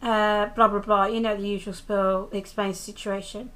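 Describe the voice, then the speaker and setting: A woman's voice: mid-range voice, little pitch movement, normal speed, fairly bright tone, rough recording. A young British woman doing a casual, rambling review of a TV episode, talking into a handheld camera held close to her face, with lots of ums and restarts. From United Kingdom.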